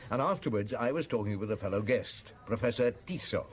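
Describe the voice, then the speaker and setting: An actor playing a shrewd middle-aged Paris police chief, who recalls a case in a wry, chuckling way for a radio drama. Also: male voice, lowish voice, animated, a little fast, close to an everyday voice. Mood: reminiscing. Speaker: narrator and detective.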